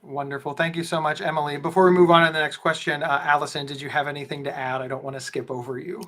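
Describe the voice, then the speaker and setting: A man hosting a live online talk, with a big booming voice and a rambling way of talking full of restarts. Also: little pitch movement, quick pace, bright, forceful tone. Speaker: host. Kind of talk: live webinar moderating.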